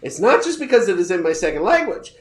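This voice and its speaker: A woman's voice: on the low side, fast, roomy sound. A woman in her thirties, a podcast co-host telling a self-mocking story in casual chat. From United States. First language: English. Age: 30s. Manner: animated, self-mocking storytelling with frequent laughter.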